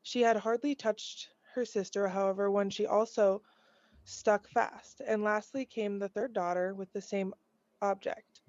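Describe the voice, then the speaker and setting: Lady reading a fairy tale out loud, medium pitch, bit halting, muffled dry audio. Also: steady tone, no room sound. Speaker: storybook reader. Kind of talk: scripted children's story read aloud. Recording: dull, lo-fi dry recording.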